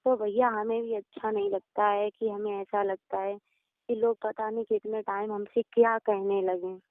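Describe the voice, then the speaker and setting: A woman's voice: medium pitch, level tone, moderate pace, no echo. A woman speaking earnestly on the phone in a deferential, pleading manner, readily agreeing with the other person. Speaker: religious storyteller. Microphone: phone call. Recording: narrow tinny phone call recording.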